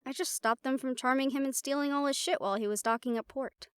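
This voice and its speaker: A woman's voice: high voice, quick pace, clear, resonant tone, no room sound, natural voice. A woman playing a confident, playful siren-banshee warrior in a conversational audio roleplay, talking intimately to the listener. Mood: proud, amused.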